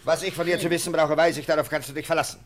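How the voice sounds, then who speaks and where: An actor playing a sardonic, self-assured police lieutenant with a sneering, authoritative manner in a German radio play. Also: male voice, a little high, fairly even intonation, rapid-fire, lightly acted. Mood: menacing, sneering. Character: scornful, sardonic police lieutenant, sure of himself.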